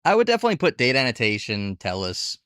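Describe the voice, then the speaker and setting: Guy doing a casual walkthrough review, really animated intonation, candid, super dry recording. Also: medium pitch, racing, clean tone, no room sound. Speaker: video host reviewing online gig work platforms. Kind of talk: conversational scripted review and tutorial narration.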